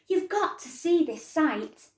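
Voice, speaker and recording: A woman doing an excited little girl. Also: slow pace, reverberant. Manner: shrill eager calls. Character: excited little girl calling her parents.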